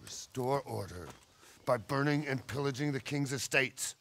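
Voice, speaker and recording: An actor playing a scornful, sardonic medieval retainer in a video game cutscene. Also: male voice, medium pitch, measured pace, creaky, a bit bright, a little hiss or hum. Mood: bitter, mocking.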